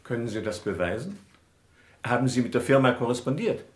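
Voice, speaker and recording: An actor playing an exacting defence lawyer in a vintage radio crime drama, formal and full of probing questions. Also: male voice, mid-range voice, big pitch swings, brisk, lightly acted. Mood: insistent, probing. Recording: roomy old radio play recording.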